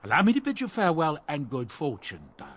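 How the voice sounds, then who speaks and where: A man's voice: a little high, wildly swooping pitch, fast, a bit bright, heavily acted. An actor playing a jovial, talkative dwarf merchant with folksy salesman patter in a video game. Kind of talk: video game cutscene dialogue.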